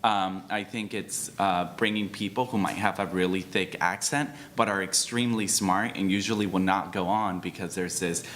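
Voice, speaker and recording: Deep-voiced earnest male panelist. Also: fairly even intonation, normal speed, hardly any pauses, fairly bright tone, a little hiss or hum. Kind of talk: panel discussion.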